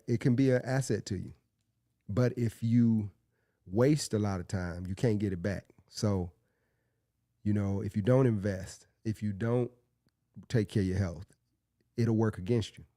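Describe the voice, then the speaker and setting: Man, podcast host, sniffly and congested, mellow low voice, dry close sound, loose rambling talk. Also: no room sound. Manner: loose, repetitive, with restarts. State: congested, under the weather. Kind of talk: casual solo podcast talk.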